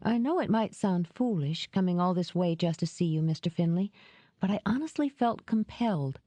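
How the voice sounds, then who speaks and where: A woman's voice: on the low side, animated, moderate pace, mellow, no room sound. A woman voicing a fragile young woman in an audiobook, anxious, polite and faltering as she speaks. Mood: anxious, distressed.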